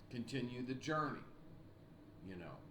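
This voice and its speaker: An older man in his sixties records a class lecture at a webcam in a small room at home. He teaches slowly and deliberately, repeating his points and clearing his throat between phrases. The room sounds echoey, with a faint hiss behind him. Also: mid-range voice, sharp tone. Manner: slow, repetitive, encouraging teacher talk with frequent throat clearing. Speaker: college writing instructor. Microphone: webcam at desk.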